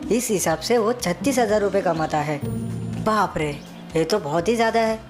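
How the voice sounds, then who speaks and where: A woman's voice: medium pitch, moderate pace, music in the background, close to an everyday voice. A woman voicing an envious, scheming young male helper in a cartoon moral story. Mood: calculating.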